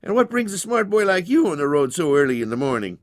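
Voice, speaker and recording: Man doing a hearty old-time herb doctor, raised pitch. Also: sing-song, quick pace, no echo, studio quality. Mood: jovial, persuasive. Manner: hearty, breezy, folksy.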